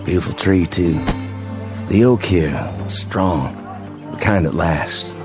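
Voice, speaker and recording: Worn-out Southern infantry sergeant, very low gravel, courteous and folksy, radio drama over music. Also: male voice. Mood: gentle, weary. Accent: American South. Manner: folksy, slow to complain, plain-spoken. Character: weary, courteous wounded Confederate sergeant walking home from war.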